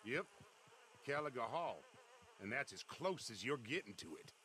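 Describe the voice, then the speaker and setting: An actor playing a scornful, suspicious plantation guard in a video game. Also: male voice, medium pitch, sing-song, normal speed, sharp tone, a little hiss or hum, rough recording. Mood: suspicious, curt. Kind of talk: video game cutscene acting.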